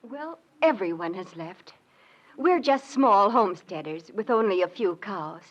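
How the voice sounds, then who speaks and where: A woman's voice: mid-range voice, animated, measured pace. An actress playing an anxious homesteader wife and mother in a 1940s radio western. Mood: worried. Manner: anxious, earnest. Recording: dull old radio recording.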